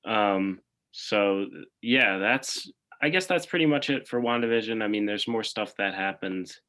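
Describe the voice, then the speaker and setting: Bright-voiced man thinking aloud. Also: on the low side, unhurried. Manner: thinks aloud and hedges often. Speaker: podcast co-host. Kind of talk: casual chat.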